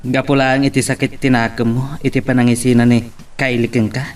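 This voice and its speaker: A man's voice: medium pitch, little pitch movement, a little fast, natural voice. A man playing a sympathetic, frank friend with an Ilocano accent, questioning and advising in a drama. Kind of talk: Ilocano radio-style drama acting. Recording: distant from the microphone.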